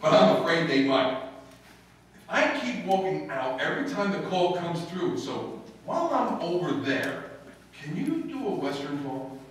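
A man's voice: a little high, big pitch swings, unhurried. A man playing a wry, teasing husband who narrates in an old-time radio comedy, on stage in a hall. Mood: dry, wry. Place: stage in a hall before a seated audience. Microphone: far camera. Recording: very echoey room, distant camera microphone.

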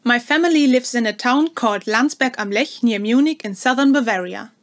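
A woman's voice: a little high, a little fast. A woman in her twenties from Germany, a violinist, introducing herself to camera at home. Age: 20s. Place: small room at home.

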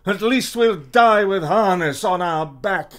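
An actor playing an embattled, bitter warrior tyrant in a classical radio drama, declaiming theatrical verse. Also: male voice, high-pitched, takes it slowly, fairly bright tone. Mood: urgent, defiant.

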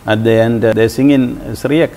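A man in his seventies with a Malayali English accent, speaking as an interview guest in a measured, thoughtful way, with frequent pauses. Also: mid-range voice, fairly even intonation, gravelly, warm tone, a little hiss or hum. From Kerala, India. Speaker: interview guest, church leader. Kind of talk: reflective conversation. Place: bright indoor sitting room. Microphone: lapel mic.

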